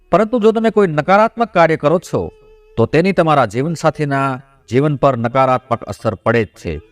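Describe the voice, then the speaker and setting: Male storyteller, higher voice, dry close sound, devotional narration, direct address to viewers. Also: no room sound. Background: background music.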